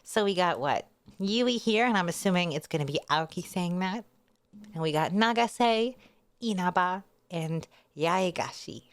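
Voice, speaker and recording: A woman's voice: on the low side, animated, a little slow, no room sound. A woman hosting a casual readalong, narrating a novel expressively with chatty asides. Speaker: VTuber host reading a light novel aloud.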